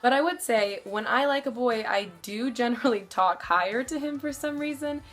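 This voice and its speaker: A young woman with a somewhat deep voice, giving casual advice to her camera and rambling with lots of fillers. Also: moderate pace, faint music behind. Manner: chatty, rambling, many fillers. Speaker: vlogger.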